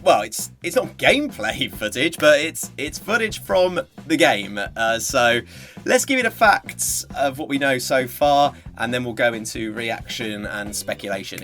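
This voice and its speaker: A man's voice: on the high side, fairly bright tone. A man giving casual reaction commentary on game footage as a gaming channel presenter. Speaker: YouTube gaming reviewer and presenter.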